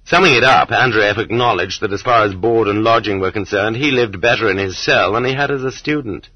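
A man narrating a non-fiction audiobook with measured, sardonic delivery and expressive emphasis, on dull lo-fi audio. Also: on the low side, slightly rough, dry recording.

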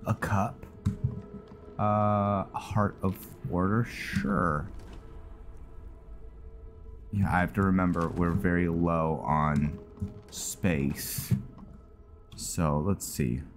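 A man's voice: low-pitched, steady tone, very slow, long pauses, faint music behind. A man hosting a gaming video, giving casual roleplay commentary and thinking aloud.

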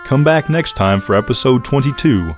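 A man reading the closing credits as the show's announcer in a steady, formal manner. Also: mid-range voice, moderate pace. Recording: background music.

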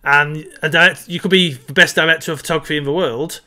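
A man in his thirties co-hosting a casual film chat from home on a headset video call, measured and opinionated. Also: fairly high pitch, fairly even intonation, bright, forceful tone. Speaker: podcast co-host.

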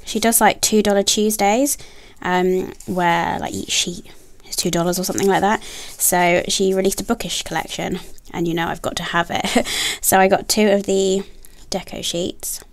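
Soft, gravelly young woman's haul chat. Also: mid-range voice, steady tone, moderate pace, hardly any pauses. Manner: soft, gushing, with many ums and likes. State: has a bad cold, nasal and throaty. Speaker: planner sticker haul vlogger. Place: indoors at a table, filming her hands.

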